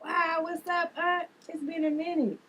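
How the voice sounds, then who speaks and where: A woman's voice: very high voice, flat delivery, a little slow, sharp tone, slight background noise, heavily acted. A woman reading the part of a blunt, sardonic sister with a dry, dismissive manner in a family drama table read.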